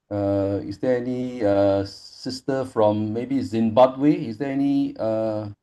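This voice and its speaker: A man moderating an online study group over a video call, talking casually with many "you know" fillers. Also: medium pitch. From Malaysia. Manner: frequent "you know" fillers, warm thanking.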